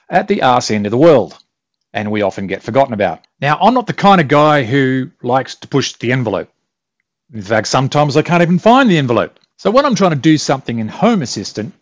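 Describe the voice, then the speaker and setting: A man's voice: mid-range voice, no room sound, highs cut off. A middle-aged Australian man hosting a casual tech tutorial, talking in a chatty, self-deprecating and blunt way with the odd mild swear. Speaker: tutorial host.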